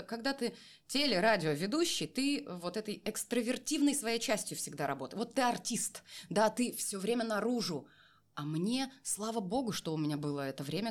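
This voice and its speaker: A woman telling a candid personal story as an interview guest, reflective and emphatic, repeating phrases for weight. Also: lowish voice. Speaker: interview guest, voice artist and former TV and radio presenter.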